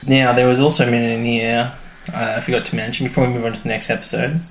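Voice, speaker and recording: A man's voice: mid-range voice, flat delivery, fast talker. Casual male podcast co-host in an echoey room. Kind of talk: casual banter recapping a TV show. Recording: roomy echo, faint background noise.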